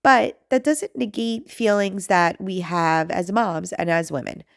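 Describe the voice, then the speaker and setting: A woman in her thirties with a raspy, nasal voice, chatting through her home cleaning vlog in a rambling, self-deprecating way. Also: medium pitch, measured pace, no echo, clean studio sound. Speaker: home vlogger and mom. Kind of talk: chatty voice-over narration.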